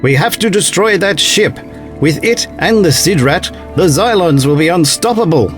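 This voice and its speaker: A man's voice: on the high side, expressive, normal speed, faint music behind, lightly acted. A man playing a troubled, dutiful space-fleet officer in a sci-fi audio drama, earnest and respectful but doubting. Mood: tense, skeptical.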